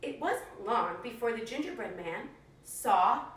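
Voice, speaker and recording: A woman telling a story to young children in an animated storytelling style. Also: fairly high pitch, measured pace. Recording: echoey room, distant microphone.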